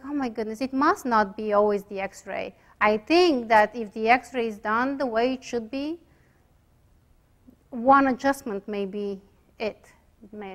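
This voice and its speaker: A woman with a Bulgarian accent speaks to a room of students in a relaxed, unscripted lecture. Her voice sits slightly high, and she often hesitates, filling gaps with "um" and restarting her sentences. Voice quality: hesitant, many fillers and restarts. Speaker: chiropractic radiologist lecturer.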